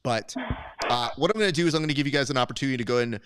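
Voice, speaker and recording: A man's voice: mid-range voice, very fast, a bit bright, slight background noise. A man hosting a casual post-show chat, joking, teasing and rambling as he runs the segment. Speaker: show host.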